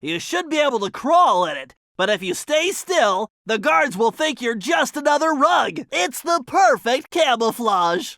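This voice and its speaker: A man's voice: very high-pitched, vocal fry, pushed and bright, dry recording, studio quality, heavily acted. A man voicing a brainy, fussy young cartoon turtle in a video game, nasal and precise, speaking with earnest emphasis as he plans missions. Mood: encouraging, excited.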